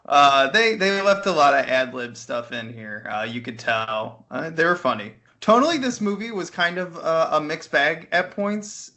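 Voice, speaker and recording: Guy on a movie podcast, bright slightly high voice, wry and informal, skype call. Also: expressive, moderate pace, hardly any pauses. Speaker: podcast co-host reviewing films. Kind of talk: casual film discussion. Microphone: internet voice call.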